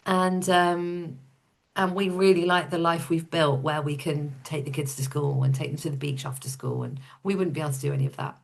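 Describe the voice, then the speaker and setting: A woman with a slightly low voice, chatting as an interview guest. Her storytelling is casual and informal, and she puts things plainly and matter-of-factly. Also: fairly even intonation, brisk, gravelly. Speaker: podcast guest, entrepreneur.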